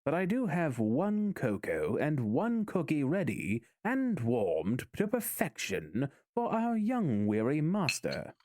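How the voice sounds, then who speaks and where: An actor playing a courteous, devoted butler in an audio drama, with a formal, deferential and theatrically proper manner. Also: male voice, on the high side, wildly swooping pitch, slow pace, hardly any pauses, darkish tone, dry recording, exaggerated voice. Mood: courteous, attentive.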